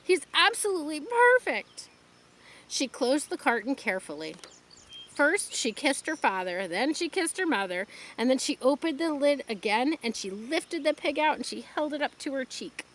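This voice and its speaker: A woman's voice: high voice, normal speed. A woman reading a children's book aloud outdoors, adding her own explanatory asides. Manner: expressive, adds explanatory asides. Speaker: storyteller. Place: outdoors, rural.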